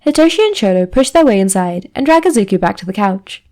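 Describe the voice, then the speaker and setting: A woman's voice: mid-range voice, big pitch swings, fast, mellow. A woman reading fan fiction aloud as a scripted story narration. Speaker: fan fiction podfic reader.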